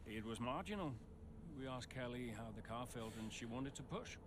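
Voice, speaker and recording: A man acts out a worn, peacemaking motorsport team principal from a video game drama. His hesitant speech rises and falls widely in pitch, over a faint bed of noise. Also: expressive, moderate pace, natural voice. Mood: defensive, conciliatory. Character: weary, conciliatory racing team principal, a guilt-ridden family man. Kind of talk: naturalistic cinematic game acting.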